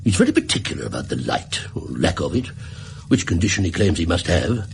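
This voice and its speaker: A gullible, middle-aged pawnbroker in a radio drama. His low voice swings up and down as he rambles deferentially, with hesitations and small chuckles, over faint background noise. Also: sing-song, brisk, gravelly, exaggerated voice. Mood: rambling, deferential. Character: fussy, garrulous, gullible widowed London pawnbroker. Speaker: client telling his story. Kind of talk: British radio drama acting.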